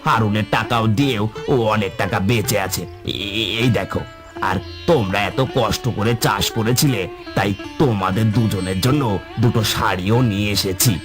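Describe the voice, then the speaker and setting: A man's voice: lowish voice, moderate pace, music in the background, close to an everyday voice. A man playing a weary, worried village farmer and husband in a cartoon, with a plaintive manner. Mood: pleased. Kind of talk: cartoon voice acting.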